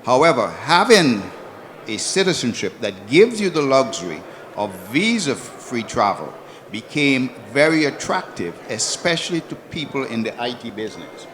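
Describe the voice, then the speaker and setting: Steady male panelist telling an example. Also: medium pitch, moderate pace, crowd noise. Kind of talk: panel discussion. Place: conference hall.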